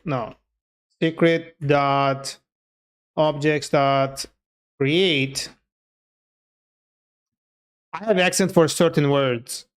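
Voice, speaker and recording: A man who grew up speaking Turkish talks in accented, informal speech as a live streamer. His voice sits a little high and sounds clean and smooth. He takes his time, thinking aloud in a casual, chatty way while he programs. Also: fairly bright tone. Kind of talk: casual livestream chat while coding.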